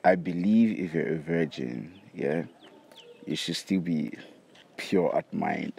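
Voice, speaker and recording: Very deep, gravelly young Nigerian man. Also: takes it slowly, a little mellow. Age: young adult. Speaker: interviewee. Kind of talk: casual street interview answers. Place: outdoors by campus buildings. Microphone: handheld device held by interviewer. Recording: faint outdoor background noise.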